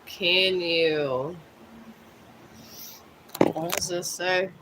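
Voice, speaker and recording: A man in his fifties from Ohio, chatting casually with viewers on a live stream in a rambling, musing, self-deprecating way, a bit high. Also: steady tone, extremely slow, noisy background. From Cleveland, Ohio. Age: 50s.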